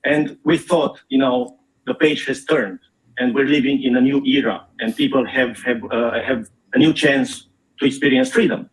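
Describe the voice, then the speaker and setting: A middle-aged man from Romania speaks at a forum in an earnest, measured voice. He pauses often with small fillers as he lays out his points. His pitch sits in the ordinary middle range. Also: steady tone, poor audio. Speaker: investigative journalist speaking at a forum. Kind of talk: prepared public talk.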